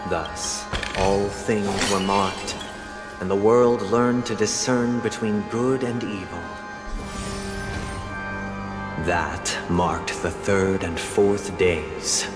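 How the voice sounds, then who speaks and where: Slow, echoing solemn theatrical narrator man. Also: on the low side. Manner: solemn, measured, formal. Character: solemn male narrator of a mythic stage play. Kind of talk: grand scripted narration. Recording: echoey hall reverb, music behind.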